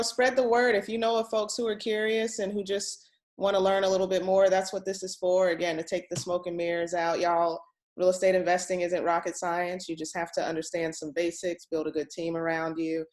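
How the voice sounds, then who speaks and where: A woman's voice: on the low side, darkish tone. A woman hosting a question-and-answer session in a casual, chatty, encouraging way with informal, folksy phrasing. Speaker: host of a real estate question-and-answer session.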